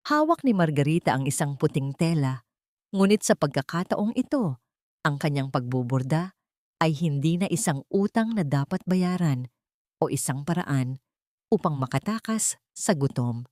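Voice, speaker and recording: Female audio drama narrator, lowish register, measured sentimental storytelling, dry recording. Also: expressive, darkish tone, no room sound.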